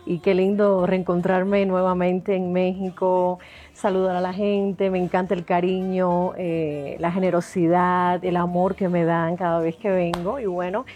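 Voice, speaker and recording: A woman with a Cuban accent, a singer talking as a guest in an interview, warm and effusive, laughing often. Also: fairly low pitch, little pitch movement, a little slow, dull audio. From Cuba. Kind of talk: interview chat.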